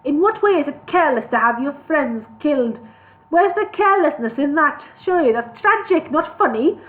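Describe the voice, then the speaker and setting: A woman's voice: high voice, lively intonation, normal speed, phone-quality sound, pitched above the performer's own voice. A woman voicing a proud, hot-tempered male dwarf who speaks indignantly.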